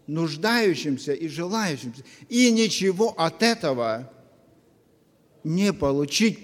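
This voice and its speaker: A man preaching a sermon to his congregation, earnest and full of pauses. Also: sits high. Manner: slow, earnest, with long pauses. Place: church hall. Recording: long reverb of a church hall.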